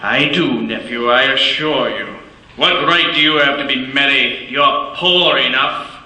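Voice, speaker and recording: An actor playing a bitter, stingy old miser who snaps and sneers, in a live stage radio play. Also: male voice, medium pitch, unhurried, sharp tone. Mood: scornful. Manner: snapping, sneering, clipped. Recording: echoey theater stage.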